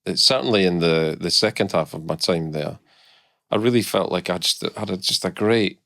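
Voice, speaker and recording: Brief: middle-aged Scottish guest, very low gravelly voice, dry close mic, candid and reflective. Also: male voice, normal speed, fairly bright tone, no echo. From Scotland. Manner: reflective, candid, many hesitant restarts. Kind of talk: casual podcast interview.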